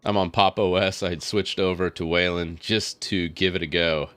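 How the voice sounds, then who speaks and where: A low-voiced man talks loosely on a live stream, thinking aloud as he clicks through his work. A cold leaves him a little raspy, and his talk is full of fillers and quick laughs. Faint music plays quietly underneath. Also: steady tone. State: slightly raspy from a head cold. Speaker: tech streamer. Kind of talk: casual live stream talk while working on screen.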